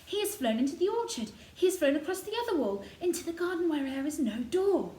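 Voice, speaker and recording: Storybook role: lonely, curious little girl, high and direct, voiced by a woman, roomy sound. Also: sing-song, fairly quick, dark tone. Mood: excited. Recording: roomy echo, noticeable background noise.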